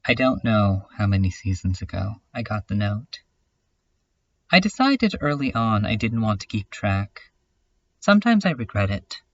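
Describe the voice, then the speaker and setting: A man's voice: lowish voice, a bit bright, muffled recording, exaggerated voice. A man voicing a lonely, weary cartoon girl in a reflective, wry, melancholic first-person monologue, as in a fan dub. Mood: wry resignation.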